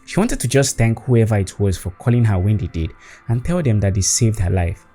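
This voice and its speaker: A man's voice: fairly low pitch, animated, brisk, warm tone, husky, faint music behind. A man narrating a scripted spooky story in a dramatic storytelling manner. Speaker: storyteller, host.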